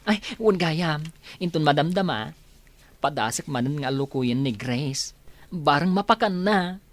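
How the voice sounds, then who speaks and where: An actress playing an earnest, caring friend in a radio drama, expressive and pleading. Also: female voice, deep voice, unhurried. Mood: concerned.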